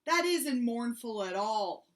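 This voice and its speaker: A woman voicing a sick, grumpy, dramatic male bear in a storybook, with a stuffy, rasping voice and a whiny, self-pitying manner. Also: on the high side, expressive, slow. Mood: grumbling. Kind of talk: storybook read-aloud.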